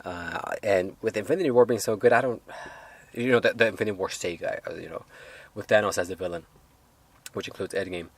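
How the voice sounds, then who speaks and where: A man reviewing a film in a casual, unscripted way, halting and rambling as he hedges his points. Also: lowish voice, slightly rough.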